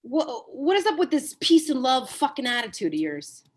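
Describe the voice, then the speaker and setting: A woman's voice: high-pitched, big pitch swings. A woman reading the part of a brash, foul-mouthed, washed-up male Hollywood agent at a comedy table read. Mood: brash, indignant. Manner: blunt, profane, halting when cornered.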